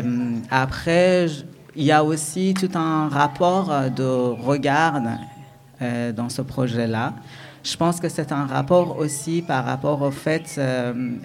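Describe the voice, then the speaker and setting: A man in his thirties from Iran, a native Persian speaker with a Persian accent, answering interview questions as a dance artist in a searching, reflective way full of hesitations. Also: fairly high pitch.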